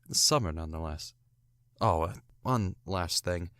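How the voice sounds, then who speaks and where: A man's voice: low voice, moderate pace, a bit bright, smooth, no echo, clean studio sound. A man hosting an audio drama podcast, giving a casual spoken introduction from a small booth. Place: small soundproofed recording booth.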